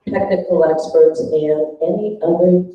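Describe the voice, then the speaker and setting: A woman presenting her group's notes at a workshop, speaking haltingly as the group's spokesperson. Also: lowish voice, fairly even intonation. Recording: dull, very echoey room, distant mic.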